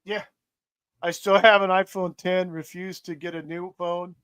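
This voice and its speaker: A man's voice: high voice, flat delivery, measured pace, a bit bright, clear, resonant tone. A man hosting a casual political chat, ranting and swearing emphatically. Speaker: podcast host.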